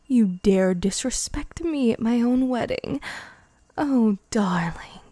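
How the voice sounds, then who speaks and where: A woman voicing a possessive, menacing young vampire bride in an intimate, teasing ASMR roleplay, breathy and often whispering. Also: on the high side, expressive, slow, warm tone, no echo, studio quality. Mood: cold, menacing. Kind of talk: ASMR girlfriend roleplay, improvised.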